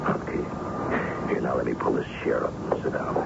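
A man's voice: brisk, heavy background noise, lightly acted. An actor playing a fond, anxious middle-aged father in a 1970s radio drama, speaking in a hesitant, coaxing way. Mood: worried, soothing. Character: fond, worried middle-aged American father of a young woman. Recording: dull radio tape with loud music.

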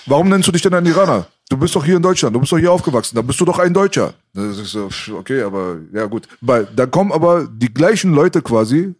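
A man, originally from Iran, talks quickly and fluently in a chatty, slangy way. His pitch sits in the middle range, and he drives his stories forward at a fast pace. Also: animated. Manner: talkative storyteller, street slang. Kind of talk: casual chat.

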